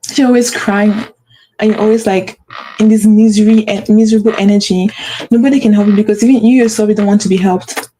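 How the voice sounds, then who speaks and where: A woman's voice: medium pitch, steady tone, fast, warm tone, a little hiss or hum, poor audio. A woman hosting a motivational talk, speaking with a stutter and repeating phrases for emphasis. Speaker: host.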